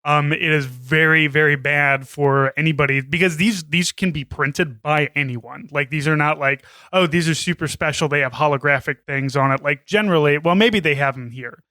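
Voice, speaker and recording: A man co-hosting a podcast in casual, jokey chat, animated and swearing casually. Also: fairly high pitch, moderate pace, few pauses, no room sound. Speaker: podcast co-host.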